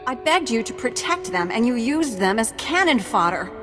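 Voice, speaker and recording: A woman's voice: a little high, sing-song, normal speed, over music. A woman playing an earnest young woman in a video game, emotional and hurt. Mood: reproachful. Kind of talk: dramatic video game acting.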